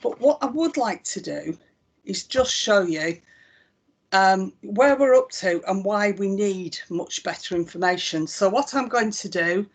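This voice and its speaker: A woman's voice: medium pitch, lively intonation, a little slow. Lancashire woman demoing a website. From Northwest England. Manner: conversational, walking through each click with frequent ums. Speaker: chief executive demonstrating a website. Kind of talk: live software demonstration.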